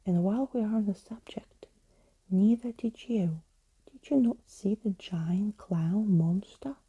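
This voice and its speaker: A woman's voice: mid-range voice, a little slow, warm tone, slightly rough, dull audio. A woman voicing an argumentative young storybook heroine, protesting in a whisper. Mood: indignant.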